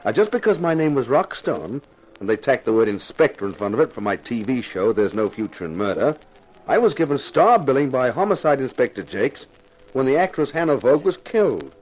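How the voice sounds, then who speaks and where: A man's voice: mid-range voice, big pitch swings, brisk, darkish tone, rough recording. A man acting as a wry, hard-boiled TV actor turned reluctant sleuth in a 1950s radio detective drama. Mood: wry narration. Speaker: lead actor and narrator.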